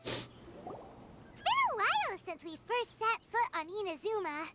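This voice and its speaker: A woman voicing a tiny, childlike fairy companion in an anime-style video game, squeaky and perky, chatty and quick to turn indignant. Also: wildly swooping pitch, sharp tone, heavily acted. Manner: perky, exclamatory, speaks of herself in third person. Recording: game music behind the voice.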